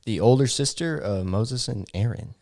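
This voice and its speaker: A man's voice: medium pitch, lively intonation, normal speed, darkish tone. A man co-hosting a podcast in casual, conversational Bible discussion. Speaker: podcast co-host.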